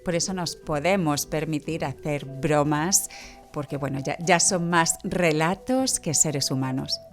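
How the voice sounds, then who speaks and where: A woman's voice: low voice, measured pace, soft background music. A woman speaking as a podcast guest in casual discussion.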